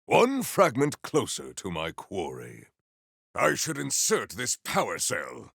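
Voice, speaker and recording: An actor playing a grave, honour-bound warrior with wry formality and archaic, theatrical diction in a video game. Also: male voice, medium pitch, huge pitch swings, takes it slowly, gravelly, fairly bright tone, no echo, studio quality, full character voice. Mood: determined, solemn. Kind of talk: video game character voice lines.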